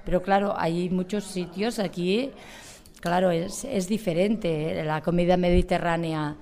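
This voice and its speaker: Mellow-voiced woman interviewer, hesitant. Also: fairly low pitch, fairly even intonation, unhurried. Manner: hesitant, many fillers and restarts. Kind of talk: casual interview.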